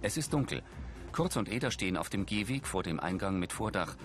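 A man's voice: low-pitched, big pitch swings, fairly quick, music in the background. A man reading scripted audio description of a film in a factual manner. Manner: factual, present-tense delivery. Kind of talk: scripted scene narration.

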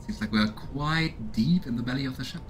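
A man's voice: mid-range voice, a little fast, slight background noise. A man in his thirties doing casual let's play commentary and reading game text aloud as he goes. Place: small room indoors at home. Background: game music.

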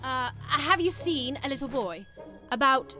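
A woman's voice: high voice, lively intonation, unhurried, over music. A woman voicing a brave, earnest young girl in a video game, hesitant but plucky. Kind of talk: video game character acting.

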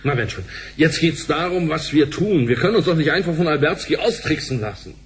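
A man's voice: fairly high pitch, expressive, brisk, a little hiss or hum, close to an everyday voice. A man playing a composed, dry-humoured executive in a conversational radio drama. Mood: serious.

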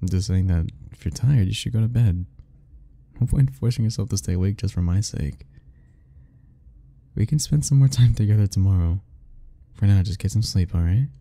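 A man's voice: fairly low pitch, normal speed, long pauses, mellow. A young man speaking a playful, teasing ASMR roleplay straight to the listener, laughing often between lines.

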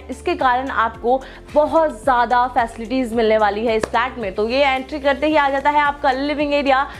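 A young woman with a high, bright-sounding voice leads a property tour, gushing over every detail in an eager sales pitch. She walks through a furnished apartment as she talks, and soft music plays faintly underneath. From India. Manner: enthusiastic, gushing salesy praise. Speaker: real estate tour presenter. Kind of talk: property walkthrough presentation. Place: large furnished indoor apartment.

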